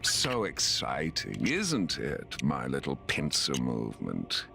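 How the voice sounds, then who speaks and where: An actor playing a grandiose, menacing conqueror villain in a video game cutscene, gloating with slow deliberation. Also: male voice, extremely low pitch, huge pitch swings, creaky, heavily acted. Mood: gloating menace. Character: Kang, grandiose male time-travelling conqueror villain. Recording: loud music over voice.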